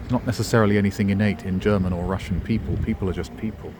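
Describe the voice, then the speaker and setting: A middle-aged man talks his way through a town on foot, his voice sitting low. He wanders off on tangents and makes dry jokes at his own expense. Faint traffic hums behind him in the open street. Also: steady tone, rapid-fire. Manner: wry, digressive, self-mocking asides. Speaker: travel vlogger. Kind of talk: rambling walking-tour commentary. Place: outdoors in a town centre street.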